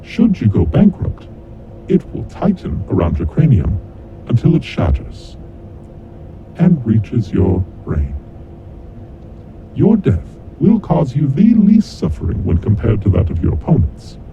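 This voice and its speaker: Guy acting as a creepy male kidnapper mastermind, formal n taunting, pitch swings all over, muffled lo-fi with rumbly noise. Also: huge pitch swings. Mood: menacing, taunting. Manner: theatrical, deliberate, formal, taunting. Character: menacing male kidnapper mastermind, coldly vengeful game announcer. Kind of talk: scripted horror monologue audio drama. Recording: dull lo-fi audio with clear rumbling noise.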